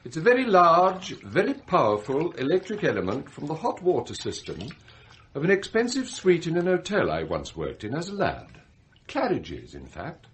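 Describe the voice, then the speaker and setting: Smooth scheming butler, widely swooping pitch. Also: male voice, a little hiss or hum. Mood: deferential, coolly sinister. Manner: measured, politely deferential, deadpan. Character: immaculate, coolly scheming murderous male butler. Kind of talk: radio comedy drama acting.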